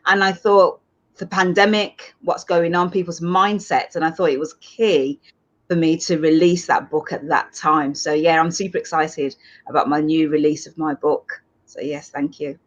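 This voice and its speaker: A woman's voice: low-pitched, steady tone, moderate pace, muffled recording. A woman from England with a Manchester accent, a guest author speaking upbeat on a video call interview. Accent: Manchester English.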